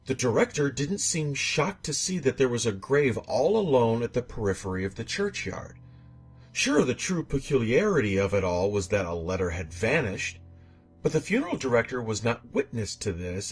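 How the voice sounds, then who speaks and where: Male horror narrator, strongly raspy with an animated range, theatrical and suspenseful storytelling. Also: medium pitch, moderate pace. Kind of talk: scripted dramatic narration of a horror tale.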